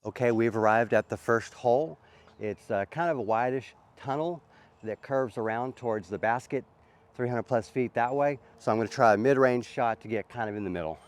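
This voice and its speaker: A man's voice: medium pitch, moderate pace. A man with a sore throat from a cold, chatting casually as host of a disc golf vlog on an outdoor course. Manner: easygoing, chatty, frequent uhs.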